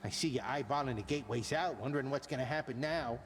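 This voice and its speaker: A man's voice: mid-range voice, quick pace, natural voice. A man playing a hearty, grandly jovial commander in a video game, with florid, chummy delivery. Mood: jovial, admiring. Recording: long reverb, faint background noise.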